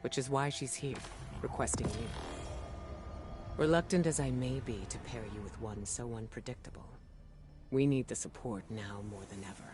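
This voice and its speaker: An actor playing an earnest, weary but resolute military commander in a video game, measured and authoritative. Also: male voice, mid-range voice, close to an everyday voice. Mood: grave, resolute. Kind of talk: video game cinematic acting. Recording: music behind the voice.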